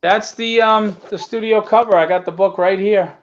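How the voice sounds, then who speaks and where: A man with a notably high voice talks casually as a guest promoting his own work. He is emphatic and repeats short phrases. The sound is somewhat dull and lo-fi, like a call. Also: steady tone, moderate pace. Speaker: comic book creator, guest.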